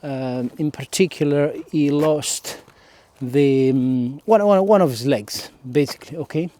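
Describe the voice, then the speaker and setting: A man's voice: fairly high pitch, a little slow, warm tone. A man with an Italian accent, a native Italian speaker, talking casually to his followers in a solo vlog, hesitant, with many fillers, often checking in with "okay?". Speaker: vlogger.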